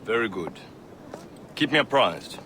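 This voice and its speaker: Commanding assassin leader, forceful bright voice, measured, video game acting, faint noise. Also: male voice, fairly low pitch, big pitch swings, exaggerated voice. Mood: authoritative.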